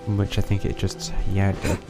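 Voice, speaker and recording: Male game streamer, lowish creaky voice, tentative think-aloud commentary, clear music underneath. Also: completely flat delivery, normal speed. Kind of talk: casual live gameplay commentary.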